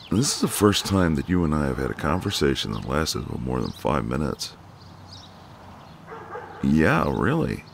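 A man playing a very deep, gravelly flirty neighbour. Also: slight background noise, full character voice. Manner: teasing, drawling, intimate, folksy. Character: flirty, cocky, gentle ex-marine neighbour, adult man. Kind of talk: ASMR romantic roleplay.

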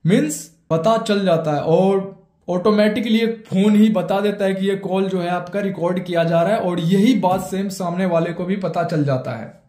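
A man's voice: high-pitched, normal speed, a little mellow. A man in his twenties with an Indian accent, explaining phone steps like a tutorial host, repeating himself for emphasis. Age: 20s. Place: small bare indoor room. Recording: echoey bare room.

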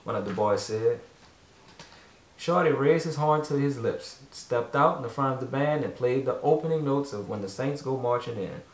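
A man's voice: mid-range voice, animated, moderate pace. A man narrating a children's storybook as a father reading aloud at home, away from the microphone. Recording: echoey room, distant mic.

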